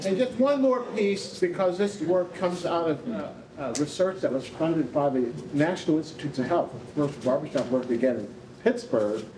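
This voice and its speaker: Higher-pitched older man hosting, echoey room. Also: expressive, moderate pace, a crowd in the background. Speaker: event host, researcher. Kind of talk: live stage hosting, off-the-cuff remarks. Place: indoor rehearsal hall, on stage. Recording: echoey room, faint background noise.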